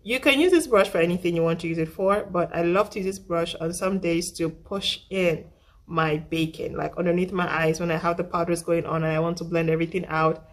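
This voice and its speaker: A young woman with a husky voice, still getting over the flu, chatting through a casual makeup tutorial and often repeating herself. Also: fairly low pitch, steady tone, brisk. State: recovering from flu. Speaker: makeup vlogger.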